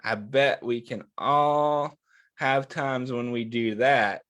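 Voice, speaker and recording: A man's voice: mid-range voice, fairly even intonation, a bit bright. A man hosting storytime for young children, talking to them in simple, reassuring words and often checking that they are following along. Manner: simple, reassuring, checks in with listeners, drawn-out phrasing. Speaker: children's storytime host reading a picture book. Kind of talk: storytime read-aloud and chat to young children.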